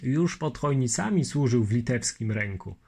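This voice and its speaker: A man's voice: mid-range voice, lively intonation, moderate pace, creaky, darkish tone, husky. A man voicing a patient, earnest Lithuanian nobleman with old-fashioned courtesy, in an audiobook reading. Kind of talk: audiobook character voice.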